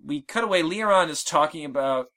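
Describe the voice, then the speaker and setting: A man in his thirties hosting a casual podcast chat, giggly and animated. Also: fairly high pitch, normal speed. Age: 30s. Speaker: podcast host.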